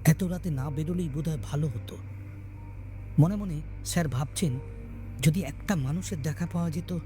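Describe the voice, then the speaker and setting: A man narrating a scripted horror story in a dramatic, suspenseful way with deliberate pauses. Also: a little high, warm tone. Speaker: storyteller, channel host. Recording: background music under voice. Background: music.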